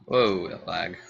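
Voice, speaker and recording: Male gaming host, lowish and forceful tone, casual thinking-aloud commentary, repeats himself. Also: gravelly, highs cut off. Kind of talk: casual let's play commentary. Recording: faint background noise.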